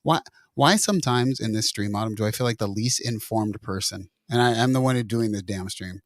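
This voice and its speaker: A man's voice: lowish voice, fairly quick, dry recording, studio quality. Playful man hosting a synth stream. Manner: playful, teasing, bro-ish banter. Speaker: livestream host. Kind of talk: casual livestream chat.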